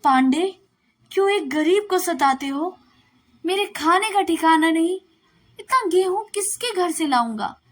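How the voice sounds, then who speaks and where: A woman's voice: extremely high pitch, expressive, unhurried, pitched above the performer's own voice. A woman voicing a poor, wronged male peasant labourer, humble and distressed, pleading in a storybook reading. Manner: pleading, aggrieved protest.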